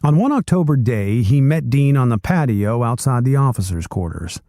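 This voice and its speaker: Guy reading a history book out loud, warm mellow voice, calm and measured. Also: mid-range voice, dry recording, studio quality. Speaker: narrator reading a book aloud. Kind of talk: read-aloud narration of a nonfiction book.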